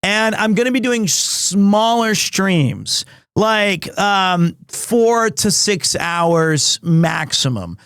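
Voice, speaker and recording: Loud, high-voiced man streaming. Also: lively intonation, very slow, clear, resonant tone, dry recording, studio quality. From United States. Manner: loud, big voice, rambling with many restarts. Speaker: livestreamer. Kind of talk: casual chat with stream viewers. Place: home stream room in an apartment.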